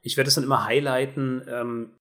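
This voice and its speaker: A man's voice: medium pitch, flat delivery, brisk, fairly bright tone. A man, hosting a podcast and explaining things in a casual, conversational way. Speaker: podcast host.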